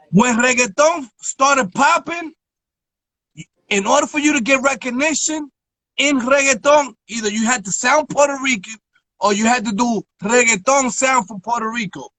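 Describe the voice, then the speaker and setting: A man's voice: very high voice, a little slow, pauses often, bright, forceful tone, no echo, low-quality recording. A man hosting a live-stream interview, talking emphatically and often checking in with his listener. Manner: emphatic, keeps asking "you feel me". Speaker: interview host. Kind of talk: casual interview chat. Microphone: phone on a live stream.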